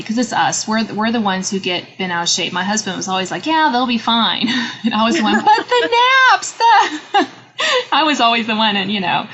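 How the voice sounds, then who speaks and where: A middle-aged woman hosting a podcast interview, chatty and animated, often laughing as she talks. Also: mid-range voice. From Northern Virginia. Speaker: podcast host. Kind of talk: casual interview chat. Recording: slightly dull lo-fi audio with faint noise.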